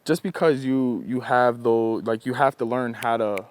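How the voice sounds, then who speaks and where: A man's voice: mid-range voice, steady tone, moderate pace, no room sound. A young man from the New York suburbs talking in an easygoing way in a casual group discussion. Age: young adult. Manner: easygoing, often adds "you know what I mean". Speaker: student panelist.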